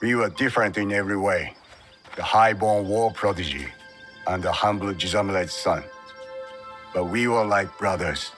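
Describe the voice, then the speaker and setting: An actor playing a grave older Japanese warrior mentor in a video game cutscene, speaking in a measured, cryptic, solemn way. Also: male voice, low-pitched, fairly bright tone. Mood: reflective. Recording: music behind the dialogue.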